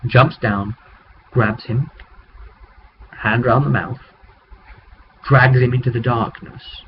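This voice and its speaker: Guy doing a chatty game master recap, halting and rambly, lo-fi webcam sound w faint noise. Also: mid-range voice. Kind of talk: casual vlog recap of tabletop game. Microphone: webcam microphone. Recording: muffled webcam mic with background noise.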